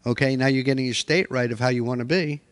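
A man's voice: mid-range voice, fairly even intonation, fast talker, dry recording. A middle-aged man presenting a workshop in a hotel meeting room, coaching people step by step.

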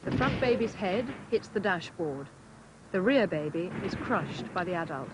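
Woman, lively pitch, factual safety film narration, very noisy old soundtrack. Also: moderate pace.

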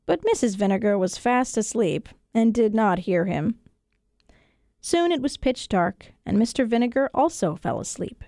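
A woman with a fairly high voice tells a children's story. Her reading is expressive and scripted, made for acting out. The studio sound is dry and close. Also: normal speed, no room sound. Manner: expressive, acts out dialogue. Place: radio studio.

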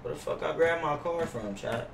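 A man's voice: a little high, normal speed, vocal fry, far from the mic. A young man with an American accent talks brashly on a gaming livestream, full of slang and swearing. His voice sounds roomy and a little off the mic, with faint noise behind it. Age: young adult. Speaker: game streamer. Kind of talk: casual gaming livestream chat with role-play banter. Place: indoor room at streaming setup. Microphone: gaming headset.

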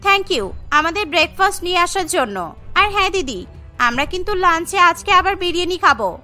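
A woman's voice: very high-pitched, big pitch swings, normal speed, pushed and bright, full character voice. A woman voicing pushy, entitled young brides who exclaim and complain in a cartoon story. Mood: eager, demanding. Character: demanding, entitled young sisters-in-law, newly married brides. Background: background music.

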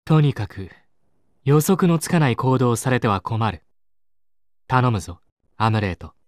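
A medium-pitched male voice from an actor as a calculating game strategist. He is clipped and authoritative, giving cool orders in a dry, close recording. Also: animated, a little slow, darkish tone, no echo, natural voice. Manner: clipped, matter-of-fact, authoritative. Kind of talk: Japanese video game voice acting.

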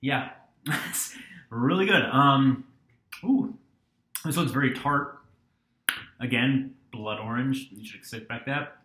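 A man's voice: medium pitch, takes it slowly, pauses often, sharp tone, roomy sound, slight background noise. A young man in his twenties casually reviewing a beer, rambling with lots of ums and restarts, filmed on a webcam at a desk in a small room. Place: small dorm-like room indoors.

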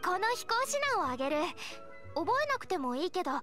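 A woman playing a cheerful, responsible young knight scout in an anime game, explaining things in an energetic, friendly way. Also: extremely high pitch, fairly quick, faint music behind, heavily acted. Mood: confident, instructive. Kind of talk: Japanese anime game dialogue.

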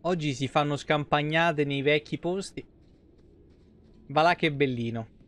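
A man's voice: a little high, measured pace, a bit bright. A young man from Italy doing casual live gameplay commentary, playful and foul-mouthed. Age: young adult. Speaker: live game streamer.

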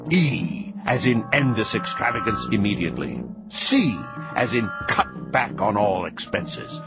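A man's voice: fairly low pitch, big pitch swings, vocal fry, over loud music, full character voice. Man playing a bellowing, bossy old grandfather mouse. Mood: scolding, emphatic. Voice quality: shrieking, shouting. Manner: domineering, emphatic, drawn-out key words.

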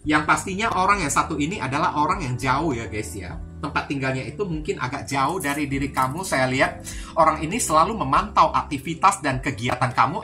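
Bright-voiced, low-pitched woman reading tarot. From Indonesia. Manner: chatty, repetitive, addresses viewers often. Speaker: tarot reader. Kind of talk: informal card-reading talk to viewers. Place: indoors at a card table. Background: music.

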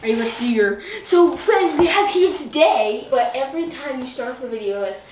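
A woman's voice: sits high, lively intonation, a little fast, reverberant, recorded from a distance, noisy background. A woman teasing and chatting playfully from off camera.

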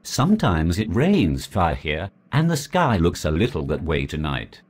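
Man doing a cunning male rabbit, deep husky voice, coaxing and sly. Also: huge pitch swings, measured pace, heavily acted. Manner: coaxing, trickster tone.

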